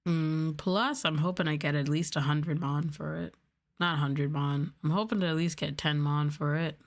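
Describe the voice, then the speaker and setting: Casting: woman, low-set voice, soft-spoken livestreamer doing casual ASMR chat. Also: fairly even intonation, moderate pace. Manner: softly spoken, now and then trailing into whispers. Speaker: livestreamer, VTuber host.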